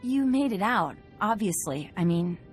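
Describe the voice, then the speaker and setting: A woman plays an eager young crewmate in a game cutscene. The voice is lively and mid-pitched, informal and halting, with faint music behind it. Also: close to an everyday voice. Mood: awkward, eager. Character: awkward, eager young female freelance crewmate. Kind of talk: video game cutscene dialogue.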